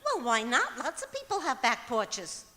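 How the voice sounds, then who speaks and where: A woman's voice: high voice, wildly swooping pitch, normal speed, sharp tone, exaggerated voice. A woman playing a scatterbrained, guileless wife in an old-time radio comedy performed on stage, earnestly delivering cheerful nonsense. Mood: innocent, matter-of-fact. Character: scatterbrained, cheerfully illogical wife with her own daffy reasoning. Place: performing arts center auditorium, live stage. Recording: echoey auditorium stage hall.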